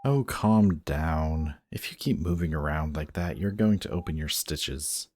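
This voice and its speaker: A man's voice: rumbling low, expressive, measured pace, darkish tone, heavily acted. A man playing a smug, guarded supervillain in an audio roleplay, teasing and commanding, grudgingly protective of the person he is addressing. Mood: stern, commanding. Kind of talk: scripted roleplay audio drama addressed to the listener.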